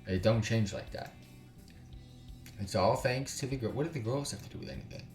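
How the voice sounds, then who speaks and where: A man reading a visual novel aloud as a gaming YouTuber, breaking off for slangy, sweary, incredulous asides. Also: on the low side. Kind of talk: visual novel read-aloud with casual commentary. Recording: roomy echo, distant mic, music behind. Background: music.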